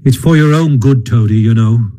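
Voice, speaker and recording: A man voicing a brisk, kindly male water rat, speaking in a coaxing way in a storybook reading. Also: medium pitch, dark tone, lightly acted. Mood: kindly teasing. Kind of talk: audiobook reading.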